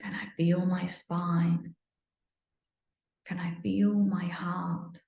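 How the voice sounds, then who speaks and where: A woman leads a guided meditation in a soothing, unhurried manner, slipping at times into near-whispers. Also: lowish voice, flat delivery, gravelly, lo-fi sound. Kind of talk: guided meditation, improvised live. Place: indoor room, live online video session. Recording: roomy, echoey sound.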